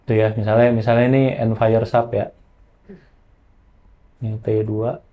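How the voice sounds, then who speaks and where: Mellow-voiced man giving software training. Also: on the low side, level tone, moderate pace. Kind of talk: informal hands-on software tutorial.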